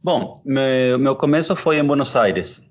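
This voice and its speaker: A man in his thirties from Argentina, a chef, answering interview questions with an Argentine Spanish accent, unhurried and thoughtful with frequent fillers. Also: medium pitch, lively intonation. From Córdoba, Argentina. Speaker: chef and pizzeria partner.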